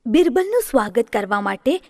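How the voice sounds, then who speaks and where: A woman narrating a children's story in an expressive storytelling manner. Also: on the high side, brisk, no room sound, clean studio sound.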